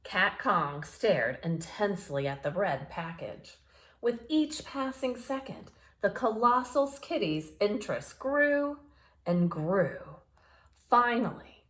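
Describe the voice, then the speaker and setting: A woman storyteller reads to children with big, lively swings in pitch. Her pace is slow and unhurried. She is playful and expressive, often turning to speak straight to her young listeners. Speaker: storyteller reading picture books aloud for children. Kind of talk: animated read-aloud with asides to young listeners.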